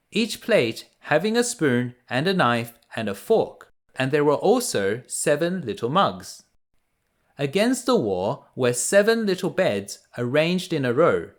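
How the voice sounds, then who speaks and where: A man narrating a children's bedtime story. He reads slowly and carefully, with very lively pitch movement and an expressive, measured storytelling manner. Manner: measured, expressive read-aloud.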